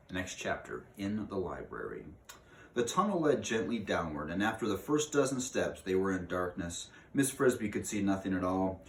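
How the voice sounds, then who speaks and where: A man's voice: sits low, recorded from a distance. A man narrating a children's novel aloud, now and then stumbling and rereading. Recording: echoey room, off-mic, faint noise.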